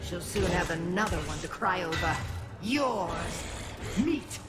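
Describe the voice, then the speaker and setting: Slow, gloating villainous witch. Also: on the low side, animated. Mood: gloating, taunting. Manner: taunting, drawn-out, theatrical. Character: cruel, gloating villainous witch, female coven leader. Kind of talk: fantasy game villain acting. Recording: echoey, loud music behind.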